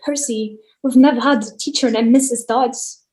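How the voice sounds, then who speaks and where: A woman's voice: fairly high pitch, fairly even intonation, moderate pace, a little mellow, lightly acted. A woman playing an anxious, loyal but tactless schoolboy best friend, casual and awkward, in a theatrical table read. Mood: uneasy.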